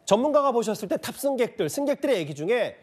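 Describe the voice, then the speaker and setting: A man's voice: very high voice, big pitch swings, fast, a little mellow. A man anchoring a news discussion show, putting conversational, probing questions to a guest and addressing viewers. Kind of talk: live studio news discussion, questioning an expert.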